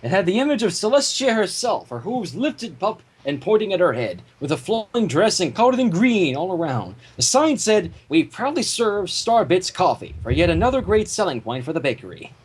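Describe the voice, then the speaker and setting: A woman's voice: fairly low pitch, very animated, brisk, few pauses, darkish tone, husky. A woman giving a playful, theatrical comic reading of a story, with asides to listeners. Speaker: fan fiction reader.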